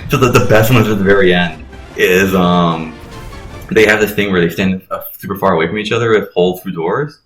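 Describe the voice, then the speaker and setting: A man's voice: low voice, fairly quick, fairly bright tone, roomy sound, faint music behind, poor audio. A man giving casual, joking commentary as a let's play host, mocking and swearing freely. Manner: profane, mocking.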